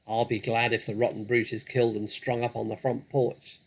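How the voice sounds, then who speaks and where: A man voicing a huge, coarse, servile housemaid in a storybook, calling out loudly. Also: medium pitch, level tone, moderate pace, noisy background, lightly acted. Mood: gleeful.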